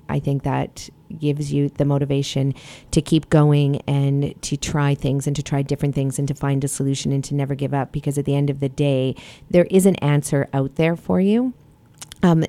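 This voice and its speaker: A woman podcast host with a low, dark voice that stays fairly flat. She talks earnestly and with passion, rambling through fillers. The sound is dry and close. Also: no echo. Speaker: podcast host and health coach. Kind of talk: casual podcast conversation.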